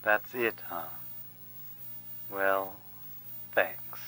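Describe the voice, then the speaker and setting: A man playing a sly, smooth-tongued cult priest in a video game, with a measured, probing, insinuating manner. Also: low voice, pushed and bright, heavily acted, rough recording.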